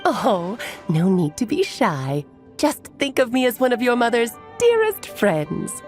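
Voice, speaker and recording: A woman's voice: fairly high pitch, sing-song, measured pace, darkish tone. A woman voicing a playful, self-assured mage storyteller in a video game, with a theatrical, grandiose, teasing manner. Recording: game music behind voice. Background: game music.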